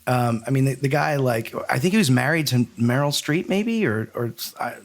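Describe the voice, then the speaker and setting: A man's voice: mid-range voice, expressive, normal speed. A man with a mid-range voice hosts a casual interview podcast. He speaks informally, in loose, run-on sentences, like someone swapping stories. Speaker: podcast host.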